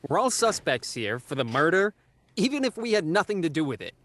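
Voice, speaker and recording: An actor playing a surly, uncooperative gardener in a radio murder mystery, gruff and combative with colloquial speech. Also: male voice, fairly high pitch, big pitch swings, a little fast, fairly bright tone. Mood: indignant.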